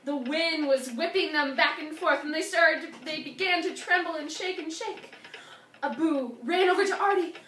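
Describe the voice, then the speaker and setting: A woman narrating a folktale in an expressive, dramatic storybook style with emphatic delivery. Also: sits high, normal speed. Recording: roomy, distant mic, faint noise.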